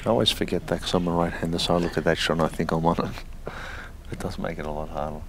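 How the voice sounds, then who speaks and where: A man commentating on a live snooker stream in a loud, chatty way, often repeating words. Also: deep voice, flat delivery, quick pace, creaky. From Greek heritage. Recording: noisy live stream audio.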